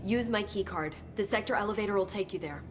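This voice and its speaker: A woman's voice: mid-range voice, quick pace, over music, lightly acted. A woman playing an inquisitive, earnest government researcher in a video game, explaining things as she goes. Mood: curious, earnest. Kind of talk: video game cutscene acting.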